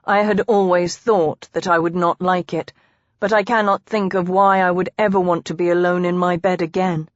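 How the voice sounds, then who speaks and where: A woman's voice: lowish voice, little pitch movement, normal speed, dry recording, close to an everyday voice. Woman as a tender teasing husband, historical romance audiobook, formal old-fashioned phrasing, dry sound. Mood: earnest. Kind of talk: audiobook character dialogue. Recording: dry, slightly dull close recording.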